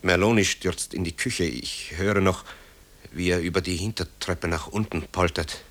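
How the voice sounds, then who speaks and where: A very deep-voiced, guilt-haunted son. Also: male voice, moderate pace, fairly bright tone. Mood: anguished, urgent. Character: tormented adult son, composer haunted by wartime guilt. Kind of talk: German radio drama acting.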